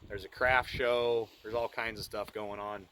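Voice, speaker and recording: A man's voice: level tone, a little slow. A man in his early forties with a Midwestern American accent, chatting casually and reminiscing. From small-town Iowa, United States. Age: early 40s. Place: outdoors at an old rural bridge. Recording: noisy outdoor recording with ambient rumble.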